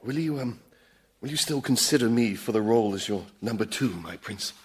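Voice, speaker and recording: An actor playing a nervous, eager demon underling in a radio sitcom, hesitant, servile and pleading. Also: male voice, mid-range voice, lively intonation, fairly quick, lightly acted.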